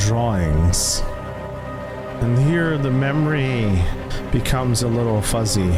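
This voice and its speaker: A man narrating as game master of a tabletop roleplay, deliberate and dramatic, building suspense in each scene. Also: mid-range voice.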